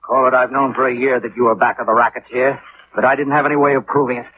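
Man acting blunt indignant official, quick talker, old radio drama, tinny. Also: mid-range voice, level tone, close to an everyday voice. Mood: accusing. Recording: tinny, hissy 1950s radio recording.

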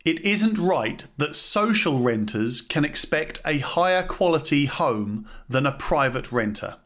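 A man narrates from a script in a fairly high voice for a man. His delivery is formal and measured, like a podcast host reading a document aloud. Music plays along with the voice. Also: lively intonation, fairly bright tone.

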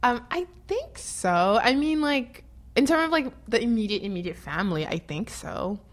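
A woman's voice: a little high, expressive, moderate pace. Laughing woman from Haiti. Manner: rambling, laughs often, many fillers. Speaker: interviewee. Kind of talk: casual personal interview.

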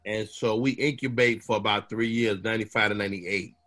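A man's voice: fairly low pitch, brisk. A man from Chicago who is a comedian, telling stories in casual conversation and acting out other people's voices. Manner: animated storyteller, acts out voices.

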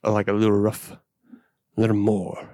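A man's voice: on the low side, monotone, measured pace, warm tone, no echo, studio quality. A man hosting a casual beer podcast, laughing often and steering the talk. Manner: laughs often, leads the discussion. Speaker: podcast host. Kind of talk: casual chat.

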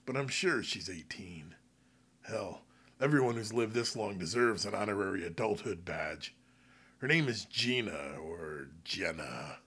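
A man's voice: medium pitch, fairly bright tone. A man playing a bitter, self-justifying former salesman confessing in a first-person apocalypse story, with a sardonic, self-pitying manner. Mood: smug, creepy. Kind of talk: dramatic first-person audio fiction monologue.

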